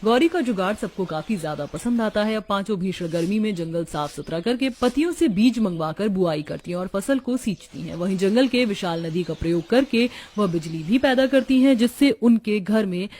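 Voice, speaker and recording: Woman doing scripted narration for a cartoon story, normal mid pitch. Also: lively intonation, normal speed, few pauses, darkish tone.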